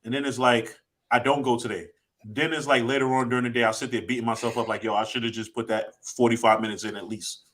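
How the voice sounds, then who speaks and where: A man's voice: mid-range voice, steady tone, fast, a bit bright. A man in his late thirties, co-hosting a podcast, talking in a rambling, reflective way with lots of restarts. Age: 30s. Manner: rambling, self-reflective, many restarts and "you know". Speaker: podcast co-host. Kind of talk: casual podcast chat.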